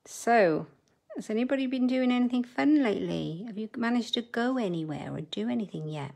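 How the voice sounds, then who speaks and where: A woman's voice: a little high, animated, fairly quick, creaky, a little mellow. A middle-aged woman hosting a live crafting demo from her desk at home, chatting with viewers and muttering asides as she works. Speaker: papercraft host.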